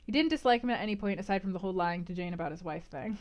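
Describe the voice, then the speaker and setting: A woman hosting a casual book club livestream, discussing and answering chat in an animated, emphatic, conversational way. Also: medium pitch, rapid-fire, muffled recording.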